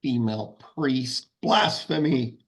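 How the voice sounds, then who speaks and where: Monk male actor: pompous, scolding traditionalist, unhurried delivery, rough husky tone, comedy script read. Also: mid-range voice. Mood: indignant. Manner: pompous, lecturing.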